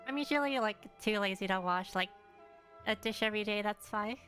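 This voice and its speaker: A woman's voice: mid-range voice, fairly quick, fairly bright tone, faint music behind. A woman casually chatting as she streams a game, with short hesitant reactions. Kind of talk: casual live stream chat.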